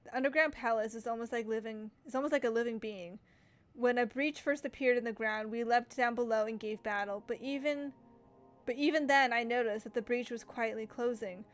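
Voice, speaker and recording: A woman's voice: fairly high pitch, a little fast, faint music behind. A woman gaming streamer reading game text aloud during a playthrough, casually stumbling over words and breaking off to comment.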